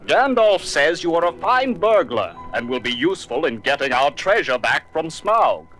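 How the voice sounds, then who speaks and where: A man's voice: on the high side, very animated, normal speed, fairly bright tone, soft background music. A man playing a proud, stern dwarf leader who speaks formally and with command, on a children's storybook record.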